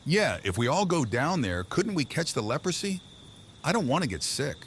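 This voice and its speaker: A man's voice: on the high side, sing-song, moderate pace, fairly bright tone. A man playing a timid, naive underling in an audio comic, speaking in a hesitant, guileless way. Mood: naive worry. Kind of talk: audio comic dramatic acting. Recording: faint noise with night crickets.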